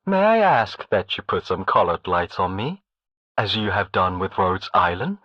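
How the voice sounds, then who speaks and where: A man's voice: deep voice, big pitch swings, a little slow, fairly bright tone, no room sound, exaggerated voice. A man voicing a polite, earnest talking machine in a game, with slightly muffled, band-limited sound. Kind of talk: game character voice acting.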